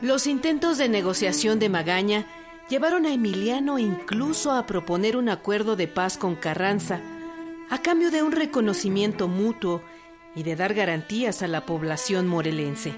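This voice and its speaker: A woman's voice: mid-range voice, expressive, normal speed. Woman narrating over string music. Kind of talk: scripted documentary narration.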